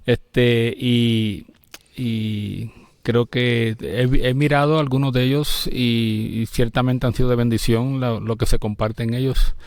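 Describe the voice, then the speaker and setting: Pastor with a gravelly voice. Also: male voice, mid-range voice, flat delivery, a little mellow, no echo. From Puerto Rico. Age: 50s. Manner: measured, restarts words often. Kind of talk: interview, telling his story.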